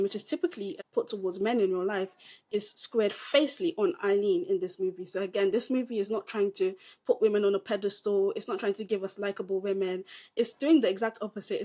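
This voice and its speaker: A young woman with a British accent reviews films on a podcast. She talks in casual chat, punching her points with emphasis, her voice sitting at an ordinary middle pitch. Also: fast talker. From Britain. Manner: emphatic, opinionated, animated delivery. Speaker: podcast co-host, film reviewer.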